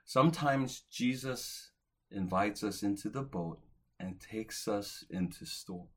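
A man in his forties preaching, his voice low and husky. He speaks earnestly, rising to emphatic peaks and then falling soft and quiet at the ends of his phrases. The delivery is a pastor's steady sermon. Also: slow pace. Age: 40s.

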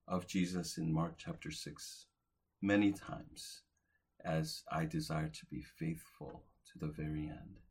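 Man, 40s, pastor mid-sermon; deep husky voice, earnest, builds emphasis and then softens. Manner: earnest, swells to emphatic lifts, then drops soft at phrase ends.

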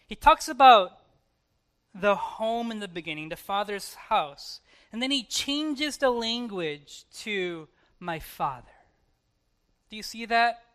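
A pastor preaching a sermon emphatically, moving between hushed and forceful delivery, with repetition and rhetorical questions. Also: mid-range voice, lively intonation, slow.

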